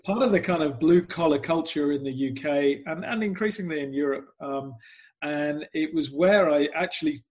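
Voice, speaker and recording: Chatty English man, slightly high. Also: normal speed, a little mellow, dull audio. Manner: self-deprecating, chatty with frequent "um"s. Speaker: guest, club PR executive. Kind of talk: casual interview chat.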